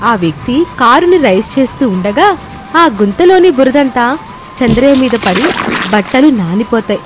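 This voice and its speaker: A woman's voice: fairly high pitch, lively intonation, moderate pace, music in the background. A woman reading scripted narration for a moral storybook tale.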